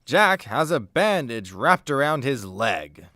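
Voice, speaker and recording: Slow, animated male radio play narrator. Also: mid-range voice, no room sound, studio quality. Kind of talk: scripted narration, stage directions.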